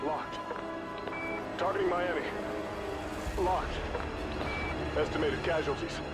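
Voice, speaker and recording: Casting: space soldier, high tense voice, clipped urgent callouts under very loud music and din. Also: male voice, slow pace. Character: tense, determined military space crewman calling out readings.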